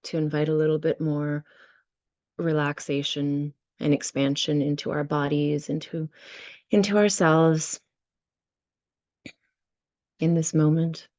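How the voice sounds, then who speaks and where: A woman leading a guided visualization and meditation, speaking gently and unhurriedly in short phrases with many pauses. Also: deep voice, steady tone, no echo. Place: online video session.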